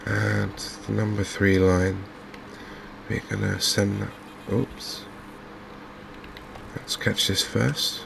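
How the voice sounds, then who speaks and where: Man, softly spoken ASMR game commentary, flat intonation, creaky low end, unhurried, faint background noise. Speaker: gaming video host.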